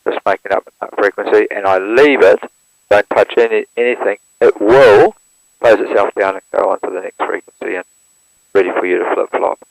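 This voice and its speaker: A man, walking through how to operate an aircraft radio step by step in a plain, steady manner, recorded through an intercom headset. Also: fairly low pitch, normal speed, vocal fry, no room sound, low-quality recording. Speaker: instructor demonstrating an aircraft radio. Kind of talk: hands-on equipment walkthrough.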